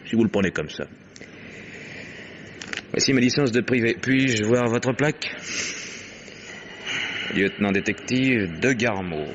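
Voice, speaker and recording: An actor playing a wry, unflappable private detective with a sardonic, measured delivery in a French radio crime drama. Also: male voice, medium pitch, lightly acted. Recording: dull, noisy old radio recording.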